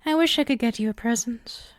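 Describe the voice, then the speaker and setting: A woman playing a lonely, coaxing female demon in an intimate ASMR roleplay, speaking softly and hesitantly, often dropping into a whisper. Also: fairly high pitch, fairly quick, dry recording, studio quality, lightly acted. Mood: tender, concerned.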